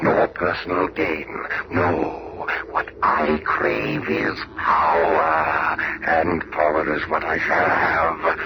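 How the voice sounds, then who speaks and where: Very noisy old radio show, a super deep gravelly evil genius villain, pompous and menacing. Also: male voice, exaggerated voice. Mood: triumphant, grandiose. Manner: pompous, menacing, formal theatrical speech. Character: diabolical gloating megalomaniac male supervillain scientist. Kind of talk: 1970s radio drama acting.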